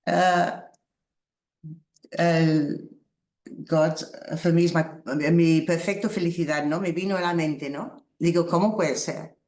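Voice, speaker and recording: Slow, reflective woman, Spanish. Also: lowish voice, steady tone, darkish tone. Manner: slow, halting, reflective, with small laughs. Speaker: workshop teacher. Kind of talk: spiritual workshop talk. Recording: roomy echo.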